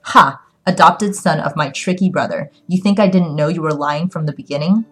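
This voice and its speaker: A woman voicing a stern, proud Chinese father in an audiobook, domineering, gruff and bitter. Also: deep voice, moderate pace. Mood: scornful. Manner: gruff, demanding.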